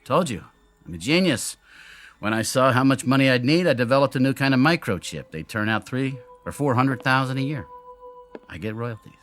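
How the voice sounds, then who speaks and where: A man's voice: mid-range voice, lively intonation, brisk, a bit bright, faint music behind, natural voice. An actor in a radio drama playing a brilliant, lonely male inventor who explains himself in theatrical, brooding monologues. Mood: proud, intense. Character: brilliant, lonely, self-doubting male inventor of an android double.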